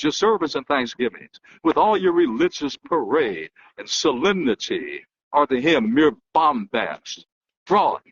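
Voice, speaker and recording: Male orator, raised pitch with wide swings, husky edge, emphatic dramatic delivery, slightly dull recording. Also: fairly bright tone. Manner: emphatic, declamatory, with dramatic pauses and repetition. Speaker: political analyst and host. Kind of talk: dramatic speech reading and oratory. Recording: dull, lo-fi muffled sound.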